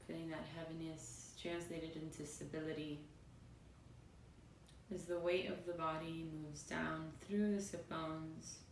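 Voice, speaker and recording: Woman meditation guide, soothing and spacious phrasing; roomy, echoing recording with faint background rumble. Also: level tone. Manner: soothing, unhurried phrases with pauses. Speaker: yoga and meditation teacher. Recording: roomy, distant mic, faint noisy rumble.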